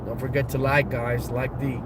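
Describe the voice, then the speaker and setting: Brief: man, raspy from a cold, mid-pitched, rambling upbeat livestream chat, phone mic in a car, heavy road noise. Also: little pitch movement, normal speed. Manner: rambling, repeats himself, upbeat. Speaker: crypto channel host. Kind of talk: casual livestream chat while driving.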